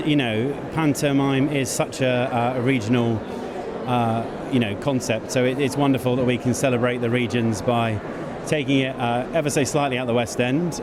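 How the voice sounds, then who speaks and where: A man, an event producer, answering interview questions backstage with halting, hesitant phrasing. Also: medium pitch. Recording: noisy busy backstage location.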